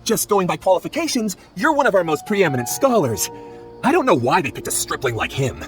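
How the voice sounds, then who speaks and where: A deferential, placating aide in a video game. His voice is high and very animated, over clear music. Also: brisk. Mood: soothing, puzzled.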